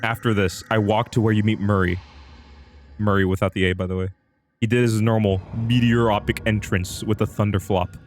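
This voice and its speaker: A man's voice: lowish voice, flat delivery, a little fast, faint music behind. A man livestreaming a dramatic reading of an amateur horror story. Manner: breaks off into amused asides.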